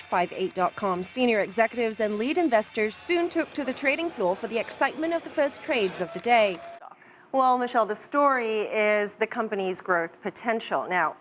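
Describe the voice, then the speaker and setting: A woman delivering broadcast business news as a reporter, in a polished, emphatic manner. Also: fairly high pitch. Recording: music under voice, some location noise.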